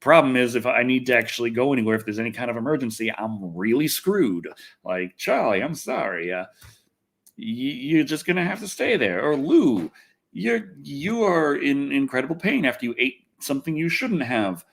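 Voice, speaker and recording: Animated man, wry and exasperated. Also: mid-range voice, normal speed, creaky. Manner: wry, exasperated, sweary griping. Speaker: livestream host. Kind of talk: casual rambling livestream chat, reading comments.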